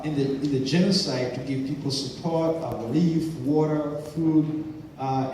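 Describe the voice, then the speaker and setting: Rwandan man, mellow voice in echoey church. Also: mid-range voice. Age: 30s. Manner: earnest, halting with many fillers. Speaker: guest speaker. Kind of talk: personal testimony in church. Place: church sanctuary. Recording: echoey hall from far off with faint noise.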